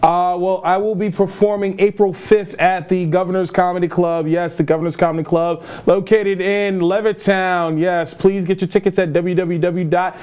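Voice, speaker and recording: Male co-host, voice a little on the high side, informal conversational podcast delivery. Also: level tone, normal speed, hardly any pauses, a little mellow, clear, resonant tone. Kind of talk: casual chat.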